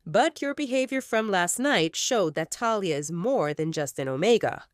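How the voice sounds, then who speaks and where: Woman voicing a calm persuasive wolf alpha. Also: mid-range voice, normal speed, no room sound, clean studio sound, natural voice. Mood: measured. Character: calm, persuasive male alpha of a rival pack.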